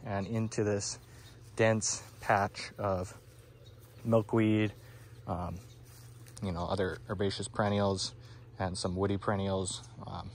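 A man giving a relaxed walking tour of his garden outdoors, with lots of "um, you know," filmed on a handheld phone. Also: on the low side, a little hiss or hum. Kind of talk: casual walking tour explanation. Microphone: phone camera held by the speaker.

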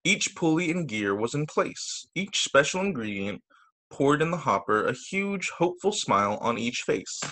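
A man, a teacher, reading children's stories aloud over a video call in an expressive, sing-song storytelling way. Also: a little high, normal speed. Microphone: video call microphone.

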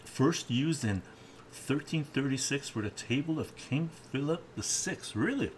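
A man's voice: mid-range voice, unhurried, noisy background. An older man live-streaming his cooking from a home kitchen, chatting and reading comments as he works. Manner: relaxed, chatty, reads chat aloud while cooking. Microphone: camera at distance. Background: clattering dishes and cutlery.

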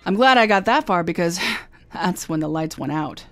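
A woman's voice: on the low side, lively intonation, moderate pace, over music, natural voice. A woman playing a wisecracking young female private detective in a radio drama, telling her story in dry, wry first-person hardboiled narration. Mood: narrating. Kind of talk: 2009 re-creation of 1950 radio drama. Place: recorded live, San Francisco.

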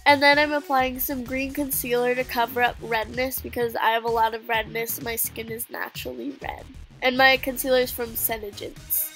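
A woman's voice: a little high, flat delivery, moderate pace, low-quality recording. A teenage girl with a stuffy, nasal voice chatting casually as she narrates her makeup routine. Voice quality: nasal, congested. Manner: chatty, with fillers like "um" and "like". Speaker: beauty vlogger. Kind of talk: casual get-ready-with-me voice-over. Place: home living room. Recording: music playing behind the voice.

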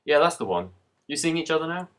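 A laid-back young male student acted by a man, speaking in short casual lines. His delivery is quick, with lively swings in pitch. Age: young adult.

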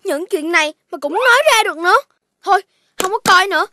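A woman's voice: very high voice, fast talker, pushed and bright. A woman voicing a cheeky young boy puppy in a cartoon, whiny and pleading when he is in trouble. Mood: chatty. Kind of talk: cartoon voice acting. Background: background music.

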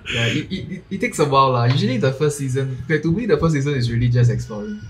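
A man's voice: mid-range voice, expressive, quick pace, over loud music. A young man in his twenties with a Singaporean English accent, a podcast guest talking earnestly over a video call and often repeating words as he thinks. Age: 20s. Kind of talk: casual video-call chat. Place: home room. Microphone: earphone mic on video call.

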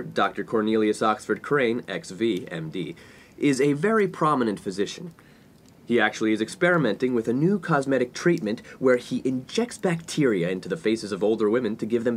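A man performs a pompous young college playboy from a comic radio play. He is smug and oblivious, his pitch leaping about theatrically. There is some noise behind him. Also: sing-song, moderate pace, lightly acted. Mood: smugly assured. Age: young adult. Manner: pompous, self-important. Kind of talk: 1950s-set comedy radio play acting.

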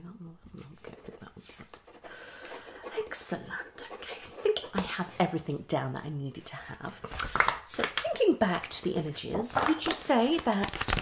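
Woman's ASMR roleplay, lively swooping pitch. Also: gravelly, heavy background noise. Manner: muttering and repetitive, slipping between whisper and speech. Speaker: ASMR performer playing a psychologist. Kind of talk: fast, unpredictable ASMR roleplay. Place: small living room, indoors.

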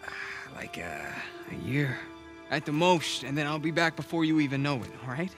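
A man's voice: a little high, very animated, moderate pace, fairly bright tone. A man playing a protective, streetwise older brother in a video game cutscene, talking casually and persuasively, sometimes hesitant. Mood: hesitant, reassuring. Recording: music behind the dialogue.

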